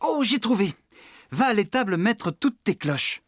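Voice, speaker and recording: A man playing an excitable, bossy young farmhand in a French children's cartoon, giving orders with animated exclamations. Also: sits high, unhurried, no echo, studio quality, full character voice. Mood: brisk, encouraging.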